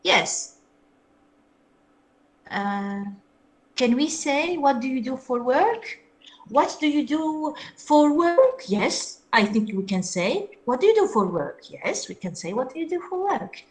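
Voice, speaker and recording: Female English teacher, Algerian French-influenced accent, lively and higher pitch, patient repeated examples. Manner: explains slowly, repeats example phrases, asks students if they follow. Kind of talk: online class lecture.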